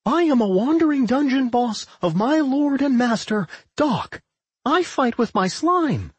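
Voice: mid-range voice, huge pitch swings, moderate pace, slightly rough, dry recording, pitched above the performer's own voice, heavily acted. A narrator voicing a proud, boastful slime girl dungeon boss in an audiobook, declaring things grandly.

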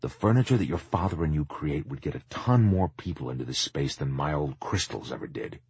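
A man voicing a kindly, dreamy old shopkeeper, gentle, encouraging and wistful, in an audiobook. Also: extremely low pitch, lively intonation, brisk, breathy edge, no echo, full character voice. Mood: warm, wistful. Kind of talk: audiobook character acting.

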